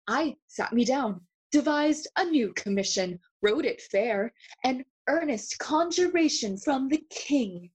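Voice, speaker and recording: A husky young prince in a Shakespeare audio play. The delivery is theatrical and unhurried, full of grief and passion. Lines slip between English and Spanish. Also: female voice, fairly high pitch, darkish tone, low-quality recording. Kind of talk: bilingual Shakespeare audio drama acting.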